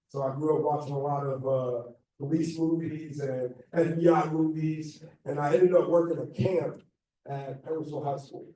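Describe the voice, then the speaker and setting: An American man in his thirties with a slightly raspy voice, giving an informal, halting motivational talk as a guest speaker. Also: a little high, dark tone, a little hiss or hum. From Illinois, United States. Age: 30s. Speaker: guest speaker, football coach. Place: echoey indoor meeting room. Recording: very echoey room, distant mic.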